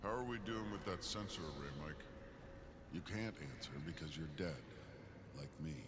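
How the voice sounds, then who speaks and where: A man's voice: low voice, expressive, normal speed. An actor playing a dead male crew member who speaks in a cold, reproachful way, distant in noisy game audio.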